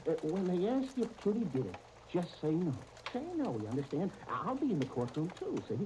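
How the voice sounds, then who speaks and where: An actor playing a pushy, brash talent agent with streetwise slang in a 1930s radio serial, on an old broadcast disc with surface noise. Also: male voice, high-pitched, big pitch swings, brisk.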